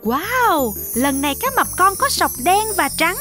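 Very high, bright woman narrating for children. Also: big pitch swings, unhurried. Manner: exclamatory, talks directly to child viewers. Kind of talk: animated scripted storytelling for young children. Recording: music behind the voice. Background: children's music.

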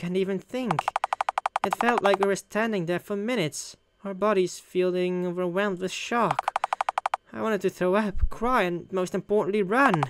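A man's voice: high voice, very noisy. A man hosting a gaming video, reading a visual novel aloud in a theatrical way with jokey commentary. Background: game music.

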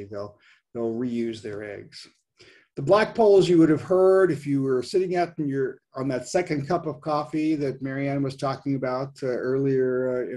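A man's voice: medium pitch, dark tone. A man in his sixties presenting an informal, explanatory nature lecture over a video call, leaning on the openings of his phrases. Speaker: presenter, science writer giving a nature talk. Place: indoors at home, on a video call.

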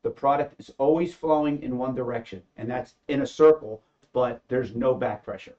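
A man's voice: mid-range voice, normal speed, a little mellow. A middle-aged man talks through how a machine was invented, stopping often on ums and uhs. His voice sits mid-range and sounds a little dull, as if recorded on a modest studio setup. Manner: hesitant, many fillers. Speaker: company engineer, co-inventor. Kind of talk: casual panel discussion. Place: studio set with backdrop.

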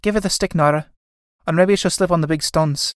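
A woman's voice: fairly low pitch, a little fast, no room sound, studio quality, lightly acted. A woman playing an anxious, scolding young Irish elder sister in a stage drama, speaking in an earnest, urgent way.

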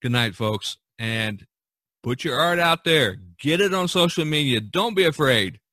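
A man hosting a podcast in a casual chat, speaking in a halting, folksy and encouraging way. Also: on the high side, expressive, bright, forceful tone, no room sound. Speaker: podcast host.

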